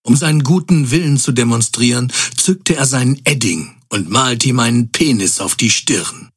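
A man as the narrator of a comedy audio drama, delivering scripted narration in a measured, grandiose, tongue-in-cheek way. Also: medium pitch, husky, lightly acted. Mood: mock-solemn.

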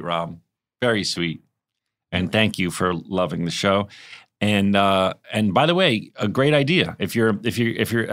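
Lower-pitched American man chatting casually. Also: fairly bright tone. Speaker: podcast co-host. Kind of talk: casual chat.

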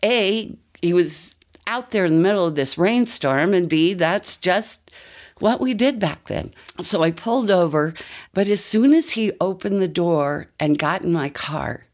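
Older American female, deep register, conversational personal storyteller who drifts into asides. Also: expressive, a little slow, darkish tone. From United States. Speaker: listener telling a personal story. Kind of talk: casual first-person storytelling.

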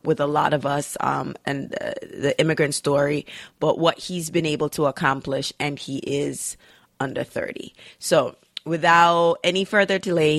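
A woman's voice: deep voice, creaky, fairly bright tone, no echo, studio quality. A woman hosting a podcast, chatty and upbeat, with many fillers as she introduces her guest. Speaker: podcast host. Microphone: studio mic.

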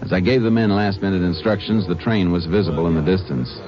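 An actor voices a steady, commanding Texas Ranger who also narrates. His voice sits low and firm. The old radio sound is muffled, with music underneath. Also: fast, lightly acted. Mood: calm. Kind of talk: 1950s radio drama narration and acting.

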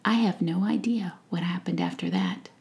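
A woman voicing a small, weary young female fairy who speaks softly and shakily, in a storybook reading. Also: on the low side, very animated, normal speed. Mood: downcast. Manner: soft, shaky and breathy.

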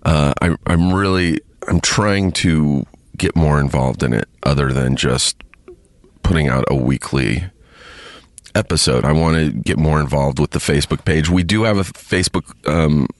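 A man hosting a podcast alone, chatting casually to his listeners in a halting, rambling way with lots of fillers, a bit sniffly from congestion. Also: rumbling low, dry recording. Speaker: podcast host. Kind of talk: casual solo chat to listeners.

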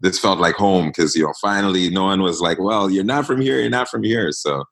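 A man in his thirties who grew up in East Africa, a guest telling stories in a relaxed interview with many fillers. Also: sits low, fairly quick, gravelly. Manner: reflective storytelling, many fillers. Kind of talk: casual interview talk.

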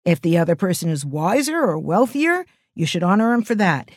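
A woman's voice: fairly low pitch, big pitch swings, fairly quick, dry recording, studio quality. A middle-aged woman hosting a podcast, giving an earnest, emphatic spiritual talk. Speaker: podcast host and teacher.

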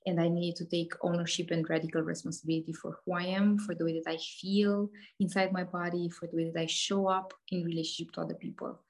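A woman in her thirties speaking English with a Romanian accent. Her voice sits a little low. She talks casually as a podcast guest, laughing often and restarting her sentences with many fillers. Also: flat delivery, quick pace, hardly any pauses. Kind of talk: casual interview talk.

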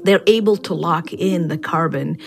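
A woman scientist speaking as an interview guest, explaining in a measured way and stressing key points. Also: low voice, gravelly.

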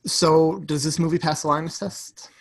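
Podcast cohost, mid pitched voice, chatty and into it, casual movie talk. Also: fairly even intonation, moderate pace, poor audio. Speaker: podcast co-host. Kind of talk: casual film discussion with quoted lines.

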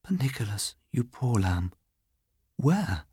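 A man voicing a grave, tender young lady with a soft, hesitant way of speaking, in a storybook reading. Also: medium pitch, sing-song, slow, slightly rough, dry recording, studio quality, voice pushed up, heavily acted. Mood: pitying.

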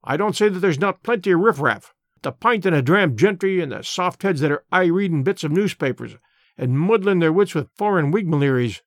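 Blunt, fiery old Scottish union radical in broad dialect, high and lively, a man doing the voice, dry close sound. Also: a little fast, no room sound, natural voice. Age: older. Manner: broad dialect, forceful and opinionated. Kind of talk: audiobook character voice.

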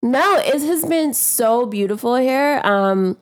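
A woman's voice: on the high side, lively intonation, takes it slowly. Young woman, Guyanese accent, podcast guest, earnest, light laughs. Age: young adult. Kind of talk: casual chat.

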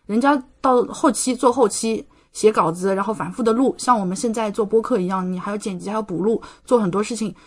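Female podcast guest, young adult, mid-range voice, casual and chatty, expressive, enthusiastic delivery. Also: medium pitch, a little fast, hardly any pauses. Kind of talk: casual chat.

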